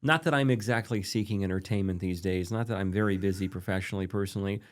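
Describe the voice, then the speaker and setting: A man's voice: low-pitched, fast. A man around forty, a native Polish speaker, interviewing casually as an interested, affirming listener.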